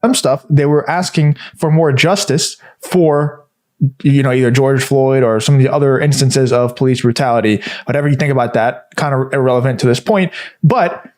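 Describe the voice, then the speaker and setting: A man giving political commentary to camera, talking in quick, repetitive runs with restarts. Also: mid-range voice, darkish tone. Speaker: commentary video host.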